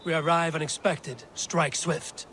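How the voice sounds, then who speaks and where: An actor playing a measured, strategic Viking war leader in a video game, solemn and deliberate in archaic phrasing. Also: male voice, fairly high pitch, little pitch movement, pushed and bright, a little hiss or hum. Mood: grave, counselling. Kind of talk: dramatic game cutscene acting. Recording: music behind the voice.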